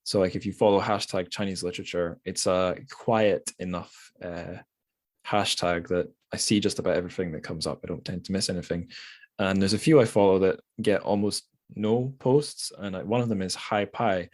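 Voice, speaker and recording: Low-pitched man, casual podcast host. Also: steady tone, normal speed, a little mellow. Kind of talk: casual book podcast chat.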